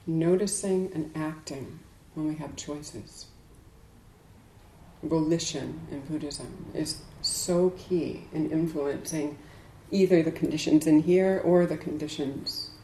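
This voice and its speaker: Low-voiced woman teaching in an echoey room. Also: slow pace, warm tone. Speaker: Buddhist meditation teacher. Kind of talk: dharma talk to a group. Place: indoors in a room.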